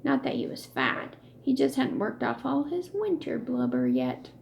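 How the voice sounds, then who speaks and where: A woman reading a children's story aloud in an expressive, emphatic, deliberate way. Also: sits high, vocal fry, a little hiss or hum. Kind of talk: expressive read-aloud narration with acted dialogue.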